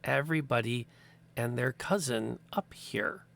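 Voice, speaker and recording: A man's voice: medium pitch, vocal fry, fairly bright tone. A wary, weary landlady, as a man puts her on. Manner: slow, reluctant, sighing. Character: wary, weary adult woman landlady in silk robe.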